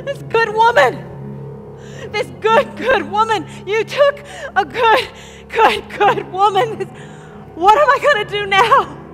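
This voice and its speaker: A woman's voice: very high voice, expressive, slow, fairly bright tone, faint music behind, heavily acted. A woman playing an anguished, grieving street woman in an operatic stage drama, impassioned and wailing. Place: theater stage at a staged reading.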